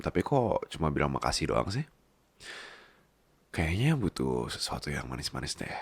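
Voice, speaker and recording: A man's voice: a bass voice, vocal fry, no echo. A man in an intimate ASMR roleplay, talking and telling stories in a playful, coaxing, colloquial way. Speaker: voice roleplay performer as doting husband.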